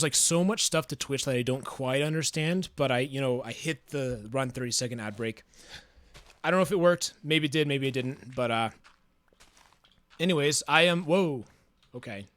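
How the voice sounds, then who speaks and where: A man chatting casually on a livestream, reading chat aloud in a smooth, soothing voice, musing and hesitant with fillers. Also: fairly high pitch, fairly bright tone, no echo.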